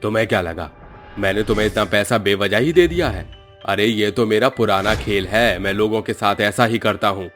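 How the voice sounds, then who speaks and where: Guy doing a crooked village moneylender for a cartoon, low voice, threatening and smug, music underneath. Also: moderate pace, a bit bright, lightly acted. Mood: gloating menace. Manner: bullying, gloating. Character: greedy cheating village moneylender, cruel and threatening man.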